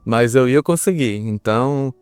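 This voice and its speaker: A man's voice: medium pitch, a little slow, darkish tone, clean tone, no echo. Earnest Brazilian man, dry close sound. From Brazil, small town. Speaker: podcast guest. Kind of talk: personal storytelling in a podcast interview.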